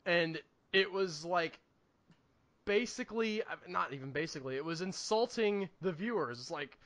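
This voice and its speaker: A man's voice: high voice, expressive, moderate pace, a bit bright. A man co-hosting a podcast in casual chat, with a wry, opinionated and mildly sweary manner. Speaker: podcast co-host reviewing movies.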